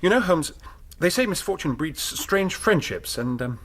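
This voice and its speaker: An actor playing an earnest, awkward young English student in a radio drama, polite and hesitant in manner. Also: male voice, on the high side, expressive, moderate pace, fairly bright tone. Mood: shy, hesitant. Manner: hesitant, apologetic, polite, well-spoken.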